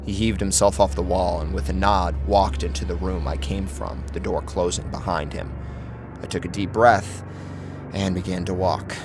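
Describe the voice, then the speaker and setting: A man's voice: sits low, steady tone, normal speed. A man giving a dramatic, theatrical first-person narration of a scripted horror story. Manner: theatrical, acts out the tension of the story. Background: music under the narration.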